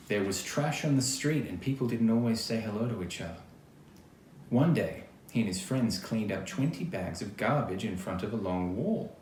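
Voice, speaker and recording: A man reading a children's picture book aloud expressively, adding chatty little asides as he goes. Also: on the low side. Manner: expressive, acts out quoted lines, chatty asides. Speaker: storybook reader. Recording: roomy echo, faint background noise.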